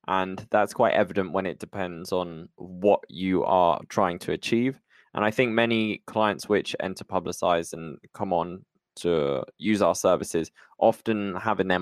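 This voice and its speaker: A man with a deep voice hosts a podcast interview. His delivery is conversational, and the sound is dry with hardly any room echo. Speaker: podcast host.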